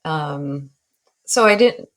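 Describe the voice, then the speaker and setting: A woman's voice: deep voice, animated, slow pace. A woman in her sixties from Northern California, a guest artist in an interview, talking in a reflective, wry way and laughing at herself. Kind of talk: relaxed interview talk.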